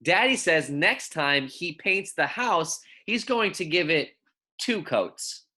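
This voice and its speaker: Friendly teacher doing a read aloud for little kids, really bright clear-cut voice, informal and encouraging. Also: mid-range voice, very animated, slow pace. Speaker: elementary school teacher. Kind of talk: read-aloud lesson for young children.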